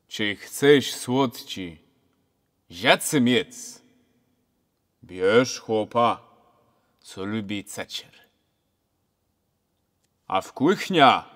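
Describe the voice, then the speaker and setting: A man acts as an older Kashubian village priest in a radio play, jovial and preachy. He delivers folksy advice very slowly, in a sing-song sermon manner with a Kashubian accent. His words ring in a long churchlike reverb. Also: medium pitch, fairly bright tone. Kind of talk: amateur radio-play acting. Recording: very reverberant hall-like sound.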